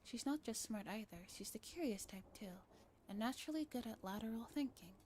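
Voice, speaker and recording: Soft-spoken woman, higher voice, reading aloud. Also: expressive, brisk, a little hiss or hum. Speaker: streamer reading a visual novel aloud. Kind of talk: soft-spoken ASMR narration with chat asides.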